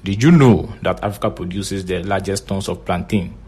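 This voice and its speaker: A man's voice: low-pitched, moderate pace, darkish tone, slight background noise. A Ghanaian man presenting a short fact segment on a podcast, reading from a script. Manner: reads from a script.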